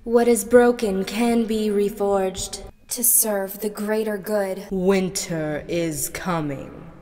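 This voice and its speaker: A woman's voice: slow, warm tone, big-room sound. Young woman rattling off character voices. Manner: shifts between whispery, husky and squeaky put-on voices. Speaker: gaming video creator. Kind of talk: rapid-fire character impressions. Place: cluttered bedroom.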